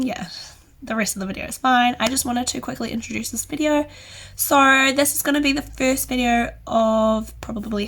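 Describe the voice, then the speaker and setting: A young New woman talks through a casual tutorial in a hoarse, croaky voice that crackles with gravel. Her tone is bright and fairly high, and she rambles in a chatty way, with a lot of "so yeah" fillers. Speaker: houseplant channel host.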